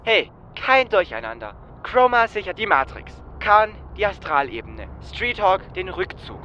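A man's voice: sits high, sing-song, measured pace, pushed and bright, highs cut off, full character voice. A man playing a curt, impatient, commanding heist crew leader in an audio drama. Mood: irritated. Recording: slight background noise.

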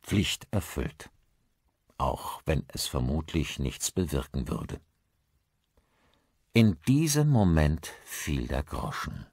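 A man narrating a thriller audiobook in a measured, articulate storytelling manner. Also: very deep voice, big pitch swings, a little mellow, husky, no room sound, studio quality.

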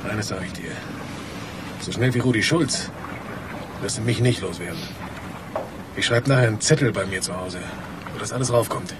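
An actor playing a bitter, insistent elevator fitter in a German radio crime drama. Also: male voice, on the low side, moderate pace, pauses often, close to an everyday voice. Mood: bitter, threatening. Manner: confrontational, insistent. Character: bitter, accusing male elevator fitter fearing for his job. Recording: noisy ambience behind the voice.

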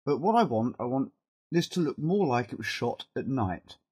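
A middle-aged man with a cold and a fading, husky voice, talking haltingly through an informal step-by-step software tutorial and thinking aloud as he works. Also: medium pitch, very animated. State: has a cold, losing his voice. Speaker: video editing tutorial presenter.

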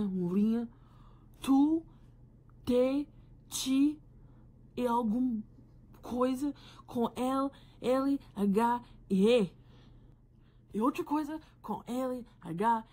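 A young woman with an American accent talks straight to a phone camera at home, dramatically exasperated as she works through her point. She speaks slowly and deliberately. The home recording sounds close and informal. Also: fairly high pitch, husky. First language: English. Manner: animated, exasperated explaining. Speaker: youtuber. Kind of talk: vlog to camera. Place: small room at home. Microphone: phone camera at arm's length.

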